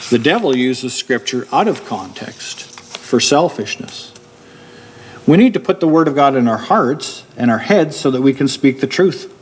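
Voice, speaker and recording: A middle-aged man preaching a sermon from a church pulpit. He explains steadily and slows down to stress key points. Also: mid-range voice, slight background noise. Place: church hall with a wooden pulpit.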